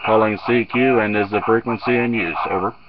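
A man's voice: fairly even intonation, brisk. A middle-aged man giving a casual hands-on demo as a radio hobbyist, recorded on a handheld camera at a workbench. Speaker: amateur radio hobbyist demonstrating equipment. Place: cluttered indoor radio workbench. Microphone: handheld camera mic. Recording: muffled low-fi camera audio, loud radio static. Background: radio static and hiss.

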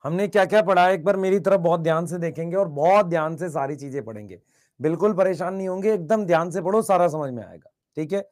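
A man teaching biology in an online class with a slightly hoarse throat, repeating his questions and urging students to answer quickly in the chat. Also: on the high side, a little mellow.

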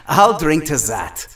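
A man playing a high, animated young mutant hero. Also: far from the mic, heavily acted. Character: wry, guarded young male mutant superhero. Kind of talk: audio drama acting.

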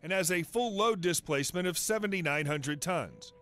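A man's voice: high-pitched, animated, moderate pace, a bit bright. Man reading a scripted military countdown. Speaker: video narrator. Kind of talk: scripted documentary narration.

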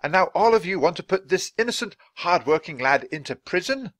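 Guy doing kindly old-fashioned gentleman author, big emphatic speechifying, voice leaps up and down, storybook style. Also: high-pitched, animated, brisk, pitched above the performer's own voice. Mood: indignant. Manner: grand, emphatic oratory. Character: kindly, indignant English gentleman author, theatrical and warm-hearted.

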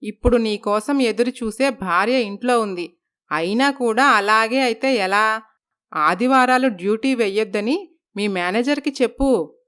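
A woman's voice: fairly high pitch, unhurried, fairly bright tone, clear, resonant tone. A woman voicing a stern older mother-in-law who scolds her son in a storybook tale. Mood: scolding. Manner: scolding, commanding.

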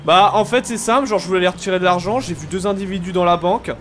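High-pitched young man, insistent gamer streamer, repetitive phrasing, faint background noise. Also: racing. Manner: insistent, repeats himself. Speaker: streamer playing a role-play game. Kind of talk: casual improvised game role-play and stream chat.

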